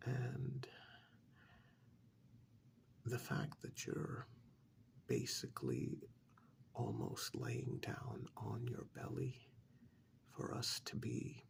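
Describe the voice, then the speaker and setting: A man playing a polite, fussy official in a soft-spoken ASMR role-play, whispering in a formal, hesitant, courteous way. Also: mid-range voice, full character voice. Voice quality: soft, breathy whisper with gravelly edge. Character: polite, fussy, methodical male tax official auditing non-human clients.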